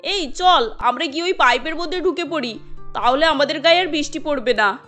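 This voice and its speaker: Woman playing a high-voiced, careworn cartoon mother. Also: fairly quick, a bit bright, faint music behind. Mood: urgent. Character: poor, caring, careworn mother of five daughters. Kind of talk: Bengali cartoon dialogue.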